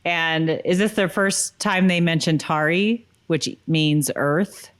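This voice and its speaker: Woman w a pretty low voice, podcast cohost, just chatting casually. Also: slow pace. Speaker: podcast co-host. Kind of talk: casual, informal chat.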